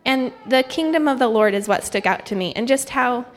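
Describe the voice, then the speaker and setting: A woman's voice: a little high, expressive, a little fast. Young woman in a reverberant church hall. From Thailand. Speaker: guest speaker, missionary candidate. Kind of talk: informal church testimony and devotional. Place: church hall, speaking to congregation. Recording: echoey hall with long reverb.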